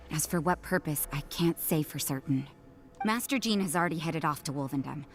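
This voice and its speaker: An actress playing a cool, jaded nun in a game, blunt and detached with a deadpan delivery. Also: female voice, low-pitched, normal speed. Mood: cool, serious. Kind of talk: anime-style game voice acting. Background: game music.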